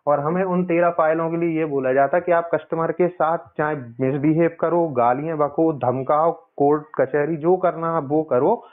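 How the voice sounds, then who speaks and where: Male caller, slightly high-pitched, explains insistently and circles back over points, narrow phone-line sound. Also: steady tone, moderate pace, few pauses. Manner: insistent, explanatory, repeats himself. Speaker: caller, loan customer. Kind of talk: casual phone conversation. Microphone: phone call.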